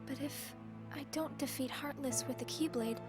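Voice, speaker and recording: A woman's voice: sits high, moderate pace, close to an everyday voice. A woman playing a timid, earnest teenage girl in a video game cutscene, speaking hesitantly with music behind her. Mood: downcast, worried.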